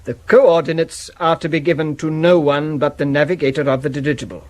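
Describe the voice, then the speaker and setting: An actor playing a pompous, insistent English gentleman with a formal, declamatory manner, in a 1970s radio drama. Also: on the high side, steady tone, a little fast, lightly acted. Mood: persuasive.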